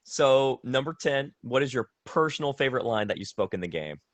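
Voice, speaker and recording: A man hosting a casual fan interview, enthusiastic and quick to agree. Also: medium pitch, lively intonation, no echo.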